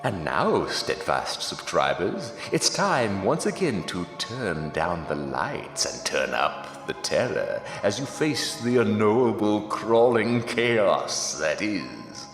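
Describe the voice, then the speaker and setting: A man's voice: on the low side, sing-song, takes it slowly, few pauses, a bit bright, exaggerated voice. A man playing an ominous storyteller, narrating a horror show introduction with dramatic suspense. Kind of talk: theatrical scripted horror narration. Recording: echoey hall, music behind.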